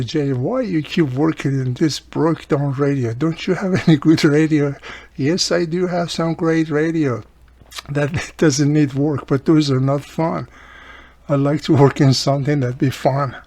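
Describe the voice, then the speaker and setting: A man's voice: mid-range voice, a little mellow. Chatty man thinking aloud through a tutorial. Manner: chatty, thinking aloud, often addressing the viewer. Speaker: host of a radio repair tutorial channel. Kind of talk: casual hands-on tutorial narration.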